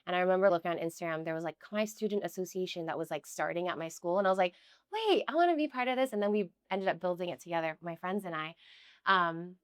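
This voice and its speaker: Young woman, smooth low-pitched voice. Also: fast talker, no room sound, studio quality. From United States. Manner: chatty, many "like" fillers, earnest. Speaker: guest. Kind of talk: casual conversational interview.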